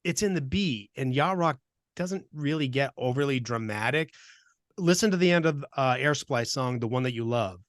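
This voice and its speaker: Casting: man, 50s, from Vermont, jokey podcast co-host, mid pitch, dry close-mic sound. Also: expressive, normal speed, dry recording. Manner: jokey, chuckles often between points. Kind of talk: casual podcast chat.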